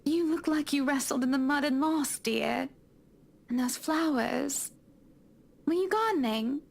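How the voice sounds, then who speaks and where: A man playing a doting, motherly woman in a very high, thin voice with a gravelly edge. She fusses over the hero and calls him dear. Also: lively intonation, a little slow, full character voice.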